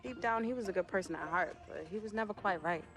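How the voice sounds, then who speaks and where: A woman's voice: medium pitch, fast talker, over music, natural voice. A woman playing a playful, loyal young American sister in game dialogue, speaking in a slangy, teasing way. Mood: reflective. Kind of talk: naturalistic game cutscene dialogue.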